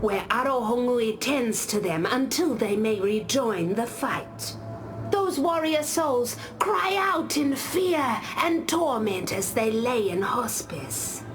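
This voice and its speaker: A solemn, devout warrior woman in a video game. Her voice sits a little high and moves expressively as she tells lore slowly and gravely. Music is clearly heard behind her. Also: expressive. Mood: grave, foreboding. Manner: grave, deliberate storytelling. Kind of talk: scripted game character monologue.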